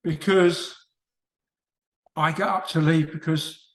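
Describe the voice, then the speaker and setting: Man, British accent, casual book club chat, rambling, restarts sentences, slightly high voice, echoey room. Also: fairly even intonation, slow. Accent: British English. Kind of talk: casual discussion on a call.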